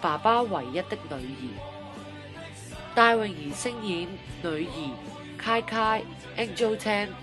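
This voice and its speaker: A woman's voice: mid-range voice, animated, slow pace. A woman reading out scripted radio drama credits as an announcer. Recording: music clearly behind the voice.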